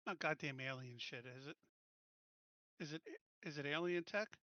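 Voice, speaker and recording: A man with a very sharp, forceful voice, pitched a little high, plays a tabletop roleplaying game. He talks casually and bluntly, stopping and starting as he improvises. The recording is dry. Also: no room sound, lo-fi sound. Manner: halting, blunt, sweary.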